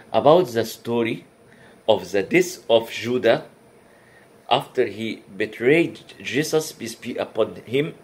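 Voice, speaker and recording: A man whose first language is Arabic gives a religious lecture in careful, non-native English, pausing often with fillers and restarting words. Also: mid-range voice, slightly rough. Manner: halting, many uh fillers and restarts. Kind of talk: comparative religion talk.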